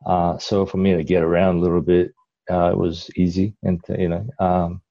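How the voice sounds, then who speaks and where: A man's voice: low voice, little pitch movement, moderate pace, creaky, dull audio. A middle-aged man from Malaysia, a guest in a casual interview, telling his story in a rambling way with lots of fillers and restarts.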